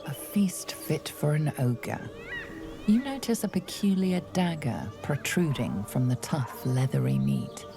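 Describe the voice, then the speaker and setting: A grave, watchful storyteller narrating a fantasy video game. Her voice is deep with a gravelly fry, and her measured, ominous lines rise and fall expressively over music. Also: sing-song, heavily acted. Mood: grave, intrigued. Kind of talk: dramatic second-person scripted narration. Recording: music behind the voice.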